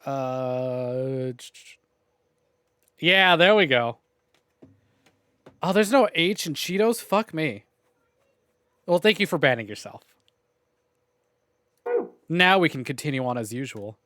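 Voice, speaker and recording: A man's voice: medium pitch, lively intonation, slow pace, pauses often, fairly bright tone, no room sound. A young man streaming a game, chatting casually with his chat, joking and swearing freely.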